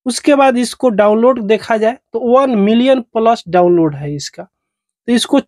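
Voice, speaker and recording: A man's voice: extremely high pitch, unhurried, darkish tone, no echo, clean studio sound. A man narrates a step-by-step phone tutorial as its host, in repetitive, instructional phrasing.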